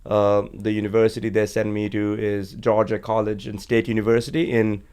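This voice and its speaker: A man from Sri Lanka in his forties, a guest on an interview podcast, telling his career story in a measured, steady way with frequent fillers. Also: fairly low pitch, clean tone. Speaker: guest, energy executive. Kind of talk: conversational interview. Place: podcast studio.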